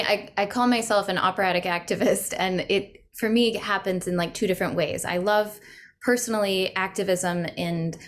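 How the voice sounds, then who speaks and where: A soprano interviewing a composer in casual, thoughtful chat with frequent ums. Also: female voice, fairly low pitch.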